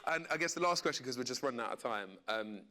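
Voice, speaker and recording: Man, bright forceful voice, mid pitch, informal jokey host. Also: animated, quick pace, a little hiss or hum. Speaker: panel host, radio presenter. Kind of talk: casual live hosting. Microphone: event stage microphone.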